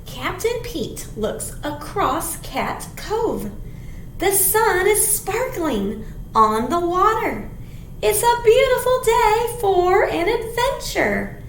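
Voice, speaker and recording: A woman leads a children's storytime in a very high, hugely animated voice. She takes her time, very slowly, with a sing-song, expressive delivery. The room rings with some echo. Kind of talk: animated read-aloud to young children. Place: small indoor room. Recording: roomy echo, faint background noise.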